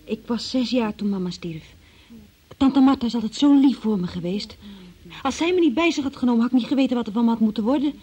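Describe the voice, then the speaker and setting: A woman's voice: a little high, fast, mellow, breathy edge. An actress playing a naive, anxious young working-class woman accused of theft, plaintive and artless, in a Dutch radio drama. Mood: earnest.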